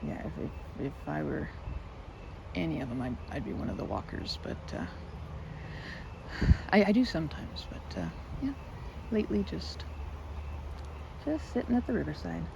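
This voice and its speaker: A woman's voice: deep voice, wildly swooping pitch, normal speed, creaky, long pauses, noisy background, exaggerated voice. A woman playing a timid, sweet, rambling young woman in an ASMR roleplay, speaking to the listener with shy, hesitant stammers. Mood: shy chatty.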